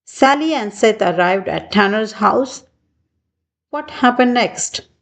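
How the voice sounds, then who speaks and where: A woman narrates a children's storybook at a very slow pace. She reads in short, measured phrases, deliberately spaced for young listeners. Her pitch sits in the middle range for a woman. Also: expressive, vocal fry. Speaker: children's book reader.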